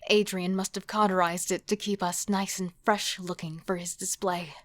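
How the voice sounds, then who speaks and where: A woman's voice: on the low side, little pitch movement, normal speed, a bit bright, natural voice. A woman playing an intense, defiant serial killer devoted to her partner, acting and narrating in a horror audio drama. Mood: tense narration.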